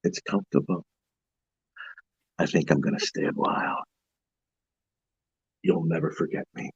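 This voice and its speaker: A man's voice: measured pace, slightly rough, no echo, highs cut off, full character voice. A man putting on a menacing male horror priest who whispers that he lives in your mind. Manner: low, hushed, creeping threats.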